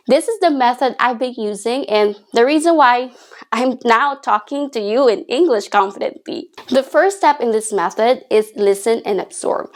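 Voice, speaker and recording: A woman teaching English in a video lesson, speaking in a clear, encouraging, instructive way. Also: on the high side.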